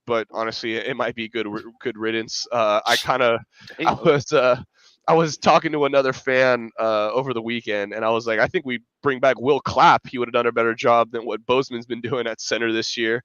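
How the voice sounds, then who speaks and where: A man's voice: mid-range voice, steady tone, a little fast, fairly bright tone, clear, resonant tone, no room sound, muffled recording. A man with a stuffy, congested voice from a cold, rambling in casual sports podcast chat with lots of fillers. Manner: rambling, filler-heavy, restarts often. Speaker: podcast co-host, fan analyst.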